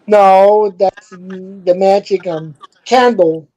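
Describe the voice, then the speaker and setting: A man's voice: high-pitched, steady tone, drawn-out words, dark tone, poor audio. A man from Houston hosting a casual stream chat, hesitant and groping for words while quizzing his guests. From Houston, Texas. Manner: hesitant, many fillers and restarts, quizzing guests. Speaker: stream host.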